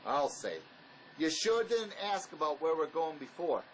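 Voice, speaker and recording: A man's voice: fairly high pitch, big pitch swings, a little slow, a little hiss or hum, low-quality recording. A man playing a desperate, pragmatic fugitive ex-convict in an audio drama, terse and dismissive, bossy as he talks his partner round. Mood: persuasive.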